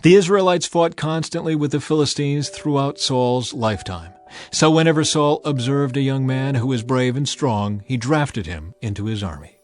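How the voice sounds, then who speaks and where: A man's voice: mid-range voice, soft background music. Solemn male Bible narrator. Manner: measured, solemn reading.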